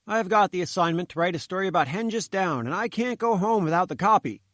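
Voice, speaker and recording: A man's voice: high-pitched, expressive, fast, a bit bright, no room sound, clean studio sound, voice pushed up. A man voicing a fat little male reporter who sputters and pleads cheekily. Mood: cheeky.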